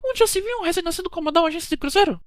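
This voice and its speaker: A woman acts out a scheming young cruise hostess with a Brazilian accent. She talks quickly and swings widely in pitch, touchy and gossipy, in a dry animated-film recording. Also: no room sound. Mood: defensive. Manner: defensive, gossipy, bickering. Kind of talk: animated murder-mystery dialogue.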